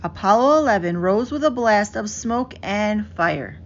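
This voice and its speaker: A woman's voice: medium pitch, expressive, unhurried. Woman reading a picture book, dull noisy audio. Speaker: storybook reader. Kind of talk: scripted children's picture book read-aloud. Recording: dull lo-fi audio with clear background noise.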